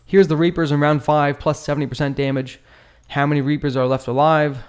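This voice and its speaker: A man's voice: on the high side, level tone, fairly quick, smooth. A man hosting a gaming channel, giving casual commentary as he analyses a battle, with informal, slangy, joking asides.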